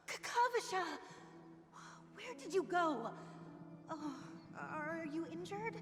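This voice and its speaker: A woman playing a gentle, devout, protective older sister in a video game. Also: very high-pitched, big pitch swings, slow, full character voice. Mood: worried. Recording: long reverb, loud music behind.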